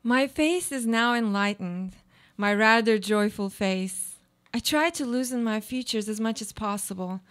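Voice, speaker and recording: An actress plays a guarded, weary woman in a live radio play. Her voice sits fairly high, and she delivers literary lines deliberately, as a declamatory monologue of self-consolation. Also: a bit bright. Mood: quietly content.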